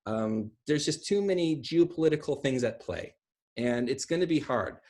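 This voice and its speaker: Husky-voiced man talking conversationally. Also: mid-range voice, animated, normal speed, a little mellow. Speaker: guest speaker. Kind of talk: conversational online talk on film and pop culture. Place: small room at home, indoors. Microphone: video call, computer at arm's length.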